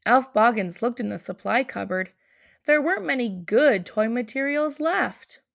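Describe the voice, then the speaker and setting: A woman's voice: a little high, expressive, unhurried, creaky. A woman narrating a picture book aloud for children. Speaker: storybook reader.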